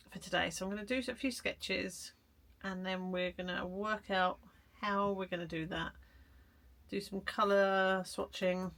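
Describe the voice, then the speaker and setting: A woman chatting casually in an art vlog, hoarse and croaky from a cold, rambling and self-deprecating. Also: mid-range voice, a bit bright, slight background noise. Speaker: vlogger. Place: garden shed studio.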